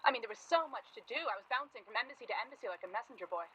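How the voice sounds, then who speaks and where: A woman's voice: fairly high pitch, lively intonation, racing, lo-fi sound, a crowd in the background, lightly acted. An actress in a 1930s-set radio play, playing a weary, placating woman who is an anxious and over-polite houseguest. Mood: earnest. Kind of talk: radio play acting, 1930s period drama.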